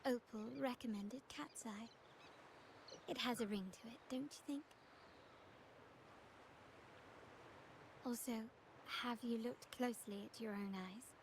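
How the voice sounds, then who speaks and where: A poised young heiress played by an actress with a fairly high voice. She speaks formally and deliberately, like someone calculating each word in a scripted game scene. There is some hiss in the recording. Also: lightly acted. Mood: smooth, inviting.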